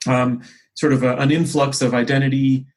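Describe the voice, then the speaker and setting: A man talks through business points conversationally, in a measured way, often pausing mid-phrase to restate himself. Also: medium pitch, level tone. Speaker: company executive, interview guest. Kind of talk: conversational business discussion, explanatory.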